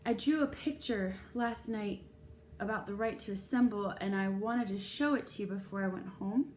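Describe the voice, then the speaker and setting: A woman's voice: medium pitch, normal speed, darkish tone, lightly acted. Woman reading as a shy, joking schoolboy, noisy recording. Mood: apologetic, hesitant. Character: shy, joking schoolboy who likes drawing. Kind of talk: children's novel read-aloud dialogue. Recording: clear background noise.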